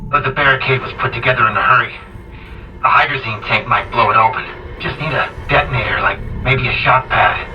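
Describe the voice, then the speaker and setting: A weary male engineer voiced by an actor in a video game, sounding muffled and set back from the mic. He talks tersely and practically at a middling pitch, over faint music. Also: brisk, far from the mic, a little hiss or hum, close to an everyday voice. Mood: grim. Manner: terse, matter-of-fact. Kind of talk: dramatic video game acting.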